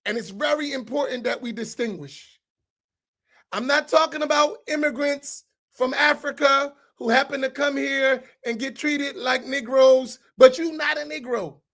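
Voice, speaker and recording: A man's voice: very high voice, steady tone, measured pace, gravelly, a bit bright. A middle-aged man from the United States, an imam speaking on a roundtable panel in an emphatic, preacherly way, often checking in with the audience with rhetorical questions.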